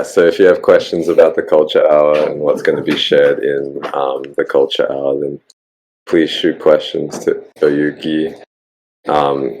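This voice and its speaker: Very deep-voiced Australian man, musing. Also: vocal fry, a little hiss or hum, rough recording. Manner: relaxed, musing, with frequent ums. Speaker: podcast host. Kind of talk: casual group call chat.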